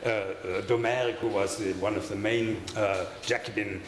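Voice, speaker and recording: An older man with a German accent lectures at a conference in a halting way, stopping often on fillers and restarts between points. His voice carries through a roomy hall with a long tail of reverb. A faint hum of room noise sits underneath. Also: on the low side, fairly even intonation. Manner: halting, many fillers and restarts, wry asides. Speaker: conference speaker. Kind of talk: academic lecture. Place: lecture hall with projection screen. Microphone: desk microphone at a lectern.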